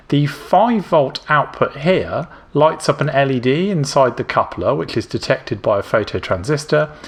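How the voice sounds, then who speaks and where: A man calmly explaining an electronics build step by step, in a clear, methodical narration. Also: medium pitch. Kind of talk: scripted technical narration.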